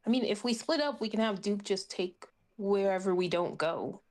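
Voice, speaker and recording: A woman's voice: mid-range voice, moderate pace, darkish tone. A woman playing a tabletop game, chatting casually with the group.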